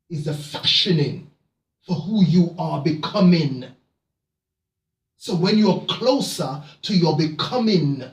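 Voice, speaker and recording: A man from Jamaica, giving a reflective motivational talk to camera, halting with many fillers and restarts, then building into emphatic repeated phrases. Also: on the high side, a little mellow, far from the mic. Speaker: motivational speaker. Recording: echoey room, off-mic.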